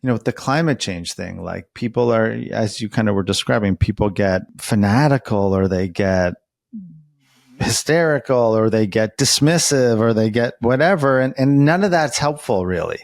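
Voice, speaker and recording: A man's voice: lowish voice, lively intonation, moderate pace, vocal fry, dry recording, clean studio sound. A man interviewing in a casual, conversational way, reflective and rambling, often hedging as he goes. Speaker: interviewer who works as a therapist.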